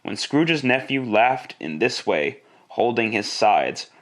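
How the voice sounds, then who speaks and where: A man's voice: mid-range voice, sing-song, slow pace, a bit bright. A man narrating a literary story in classic radio style, with a theatrical, relishing delivery.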